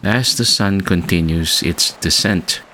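A man narrating a guided meditation as host, speaking in a slow, measured, soothing way with long pauses. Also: sits low, sharp tone, a little hiss or hum, poor audio. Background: background music.

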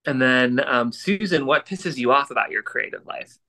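Male podcast co-host, forceful bright tone, mid pitch, articulate and thoughtful explainer. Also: expressive, normal speed, poor audio.

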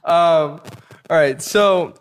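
A man's voice: a little high, drawn-out words, clear, resonant tone. A man hosting a casual interview, asking questions in a chatty, joking manner. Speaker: interviewer, host.

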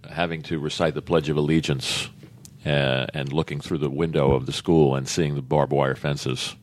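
A man's voice: very deep voice, level tone, moderate pace. A man co-hosting a podcast, asking questions in an admiring, appreciative way. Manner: warm, admiring interviewer. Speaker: podcast co-host. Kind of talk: interview conversation.

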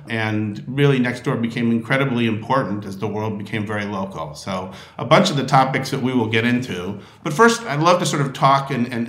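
Male interviewer, friendly, halting with fillers, roomy echoey sound. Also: mid-range voice, fairly bright tone. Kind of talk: hosted interview.

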